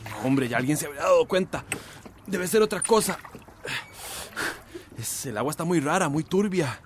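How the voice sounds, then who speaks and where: Radio drama fisherman for a male actor: dismayed, talkative, high register, clearly noisy outdoor setting. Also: lively intonation, moderate pace, natural voice. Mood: puzzled dismay. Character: dismayed fisherman puzzled by murky water. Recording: noisy outdoor boat soundscape.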